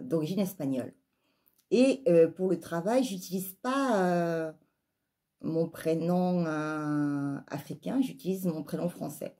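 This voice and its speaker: A woman with a southern French accent speaks in a lowish, husky voice. She delivers an opinionated monologue straight to her listeners, stressing points through repetition and rhetorical questions. The tone of the voice itself is soft-edged and dark. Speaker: life coach and spiritual vlogger. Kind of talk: opinionated spoken monologue to viewers.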